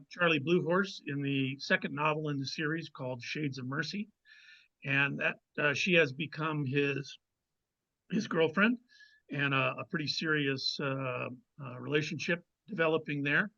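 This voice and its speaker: A man speaking as a guest author in a conversational interview, explaining things in a measured way with pauses to find his words. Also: on the high side, gravelly, bright, forceful tone, muffled recording. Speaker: guest novelist.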